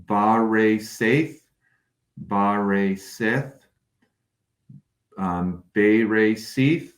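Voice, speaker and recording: A man who is a native English speaker, explaining Hebrew scholarship as an interview guest in a careful, precise, measured way. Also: fairly low pitch. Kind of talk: scholarly explanation, sometimes reading aloud. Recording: echoey, roomy sound.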